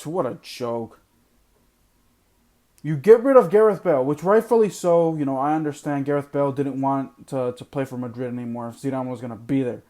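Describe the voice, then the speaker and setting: A man's voice: medium pitch, brisk, mellow. A man hosting a sports podcast, ranting bluntly and repeating his points for emphasis while losing his voice. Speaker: podcast host. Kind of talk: opinionated sports podcast monologue.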